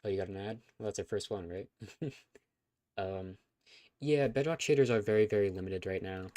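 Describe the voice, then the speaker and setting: Young man chatting on a live stream, low voice. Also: normal speed, a little mellow. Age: young adult. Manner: rambling, lots of fillers and restarts. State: voice going scratchy. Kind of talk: casual live stream chat.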